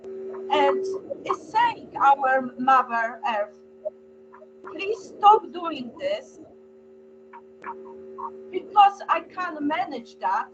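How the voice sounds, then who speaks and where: High, unhurried Polish-accented woman, muffled and noisy. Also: wildly swooping pitch. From Poland. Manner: hesitant, earnest. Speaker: panel speaker. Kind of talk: informal panel talk. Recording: dull lo-fi sound, humming noise.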